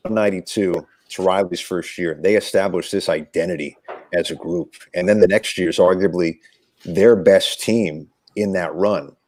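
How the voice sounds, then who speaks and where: A man's voice: low-pitched, darkish tone, no room sound. A man giving conversational sports analysis as an interview guest, reflective and emphatic in his phrasing, like a storyteller. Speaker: sports radio play-by-play commentator, interview guest.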